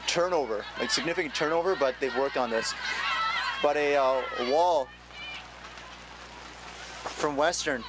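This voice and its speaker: A man doing relaxed, joking play-by-play commentary at an outdoor game, with plenty of fillers. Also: on the high side, a little slow, long pauses. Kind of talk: casual sports commentary. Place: outdoor sideline of a rainy field. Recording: noisy outdoor recording.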